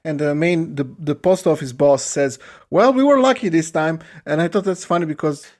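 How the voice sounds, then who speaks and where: A man co-hosting a podcast, casually retelling things in a halting, deliberate way. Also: on the high side, darkish tone. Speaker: podcast co-host. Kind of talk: casual chat.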